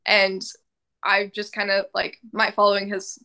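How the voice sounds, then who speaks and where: A woman's voice: mid-range voice, monotone, a little slow, bright, forceful tone, clear, resonant tone. A young woman guest talking casually in a podcast interview, with many fillers and frequent laughs. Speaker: podcast guest, mental health advocate.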